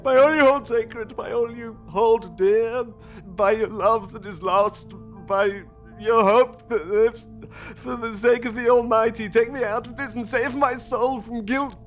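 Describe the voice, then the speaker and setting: An actor playing a desperate, fervent asylum patient in an audio drama, pleading theatrically with well-bred diction. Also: male voice, very high-pitched, steady tone, a little slow, lo-fi sound, soft background music. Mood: frantic pleading. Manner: theatrical, fervent, swinging from whispers to cries.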